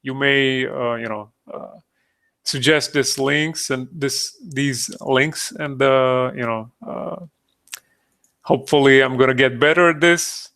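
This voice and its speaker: A man with a Croatian accent, a native Croatian speaker, giving a live sculpting tutorial in a halting way, full of fillers and restarts, on a close mic. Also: medium pitch, fairly even intonation, gravelly, bright, forceful tone, clear, resonant tone. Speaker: digital sculpting streamer. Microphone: close desktop mic, breathing audible.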